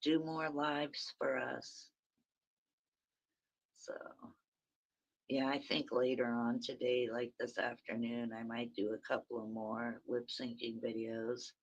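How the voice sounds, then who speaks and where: A woman with a very raspy voice, hosting a rambling, chatty early-morning livestream from her phone and talking to viewers by name. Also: a bass voice, flat delivery, slow, long pauses, rough recording. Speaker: livestream host.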